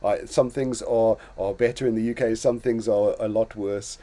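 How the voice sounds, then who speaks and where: A man's voice: fairly low pitch, steady tone, moderate pace, mellow. An older man from Zimbabwe hosting a casual talk show, reflecting on his own life in a storytelling way with many restarts and small chuckles. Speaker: host.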